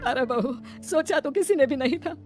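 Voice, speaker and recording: A woman's voice: high-pitched, expressive, normal speed. A woman voicing a kindly, grieving older father-in-law in a cartoon family melodrama, earnest and consoling, with music behind.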